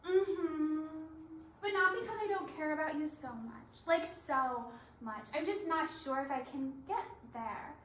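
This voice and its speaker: A woman on stage acting a torn, apologetic young reality show contestant, halting and repeating herself, in a readers theatre piece. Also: high-pitched, fairly bright tone. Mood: apologetic, pained. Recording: roomy stage recording with faint noise.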